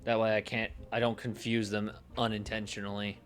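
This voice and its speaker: A man livestreaming casually, thinking aloud in a halting way that moves between muttering to himself and explaining. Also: fairly low pitch, flat delivery, faint music behind.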